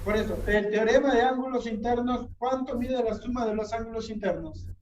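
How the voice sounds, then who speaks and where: A woman's voice: on the high side, fairly quick, a little mellow, echoey room. Woman, math tutor, patient repeated questions, distant mic, faint room noise.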